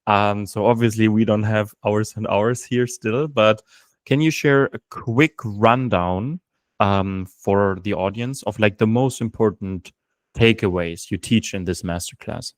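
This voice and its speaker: A man hosts a podcast, asking long, winding questions and nodding along with short "got it"s. His voice sits fairly low. The recording is dry, with no room sound. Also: measured pace, no room sound. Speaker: podcast host. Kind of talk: podcast interview.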